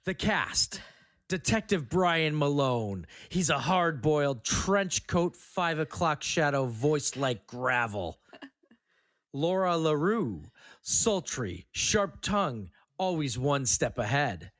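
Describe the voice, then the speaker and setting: A man's voice: fairly high pitch, sing-song, takes it slowly, fairly bright tone. A man hosting a podcast, moving between casual chat and scripted scene narration.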